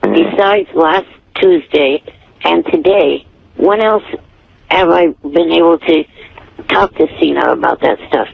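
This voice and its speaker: A man phones in to a radio show with a fairly high, creaky voice. He talks earnestly and haltingly, very literal, over a dull phone connection. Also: no room sound. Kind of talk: phone-in conversation. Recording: dull telephone call on radio.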